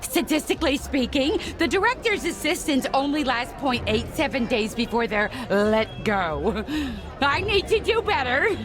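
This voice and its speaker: High-pitched, nervous chattering assistant. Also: female voice, very animated, unhurried. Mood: anxious, urgent. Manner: anxious, oversharing, chattering. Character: nervous chatty young female film assistant. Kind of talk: cartoon game acting. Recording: loud crowd and music behind.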